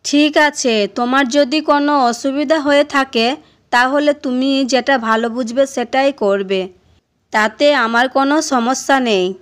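A woman's voice: high voice, steady tone, normal speed, smooth, natural voice. A woman playing a caring village housewife, the wife of a woodcutter, in an amateur cartoon dub. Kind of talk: amateur Bengali cartoon dubbing.

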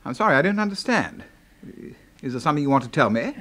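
An actor with an English accent playing a pompous, deranged military boss in a 1970s radio horror drama, clipped and commanding. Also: male voice, on the high side, big pitch swings, quick pace, fairly bright tone. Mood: brusque, puzzled. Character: pompous, deranged brigadier boss, secretly a serial killer.

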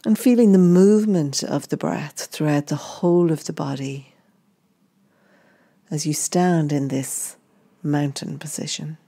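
Female meditation guide. Deep, soft-toned delivery, very measured, with gentle phrase-by-phrase instruction. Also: husky.

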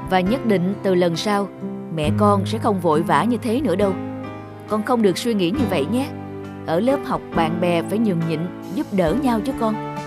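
A man voicing a gentle father who reasons patiently and instructively, in a cartoon dub. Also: high-pitched, animated, normal speed, music in the background. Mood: gently firm. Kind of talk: cartoon dubbing.

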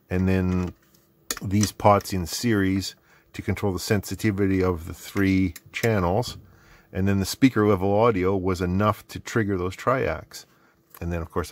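Low-pitched Canadian man, middle-aged. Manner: self-deprecating, rambling. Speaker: host of an electronics hobby video series. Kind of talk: casual unscripted workbench narration. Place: indoor hobby electronics workbench. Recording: clearly noisy background with clicks.

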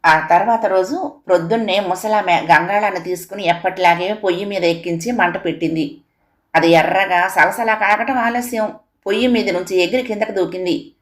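A woman narrates a scripted moral tale for children. Her pitch sits in the middle range for a woman. She speaks as a storyteller. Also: fairly even intonation, normal speed.

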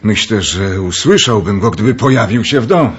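A man's voice: on the low side, lively intonation, normal speed, lo-fi sound, faint music behind, lightly acted. A man acting a flustered, deferential valet in a radio play, polite, hesitant and stammering. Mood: nervous, deferential.

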